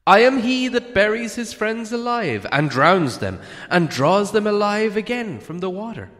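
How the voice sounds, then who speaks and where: A man voicing a small, nervous hobbit burglar in a storybook, thin-voiced, stammering a little and speaking in theatrical flattery and riddles. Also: high-pitched, a little slow, in a large hall, voice pushed up, exaggerated voice. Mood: boastful. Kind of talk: dramatic storybook character reading.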